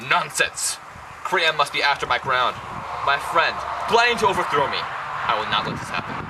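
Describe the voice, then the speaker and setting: A man's voice: fairly high pitch, big pitch swings, normal speed, very noisy. A man playing a proud, suspicious, commanding king in an amateur Greek tragedy, speaking in formal declamation. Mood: angry, suspicious.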